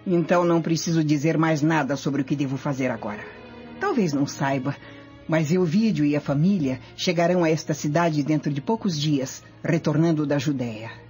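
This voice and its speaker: An actress playing a vengeful, scheming Roman noblewoman in a radio drama, speaking imperiously and deliberately. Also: low-pitched, a little mellow, faint music behind, close to an everyday voice.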